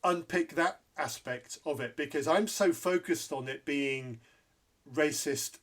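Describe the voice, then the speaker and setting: A man's voice: on the high side, a little slow. A man hosting an interview podcast, who grew up in Zambia, speaking candidly and repeating points for emphasis. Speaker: podcast host.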